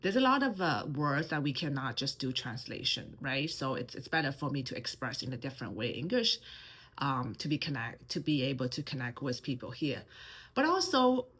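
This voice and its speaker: Woman in her 30s, Mandarin-accented English, low husky voice, hesitant personal vlog delivery. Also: big pitch swings, normal speed, fairly bright tone. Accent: Mandarin Chinese. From China. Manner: hesitant, many fillers and restarts. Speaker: channel host. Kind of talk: personal vlog talk to camera.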